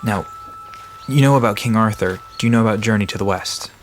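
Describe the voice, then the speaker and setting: Low-voiced, friendly, nerdy young-sounding guide, acted by a man. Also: a little fast, a little hiss or hum, lightly acted. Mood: eager explaining. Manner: explanatory, eager to share lore, hesitant asides. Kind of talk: conversational audio drama dialogue.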